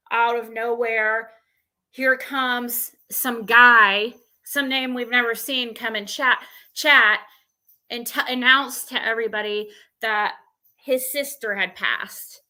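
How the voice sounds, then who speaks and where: A woman hosting a livestream, talking casually and haltingly, thinking aloud as she rambles through asides. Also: a little high, sharp tone. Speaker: livestream panel host.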